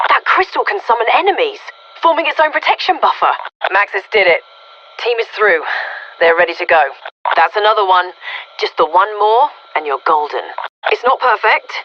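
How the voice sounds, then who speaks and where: A woman's voice: medium pitch, dull audio, a little hiss or hum. An actress voicing a wry, inquisitive female research scientist in a video game, speaking expressively through a radio filter. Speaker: video game mission commander. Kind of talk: scripted video game voice acting.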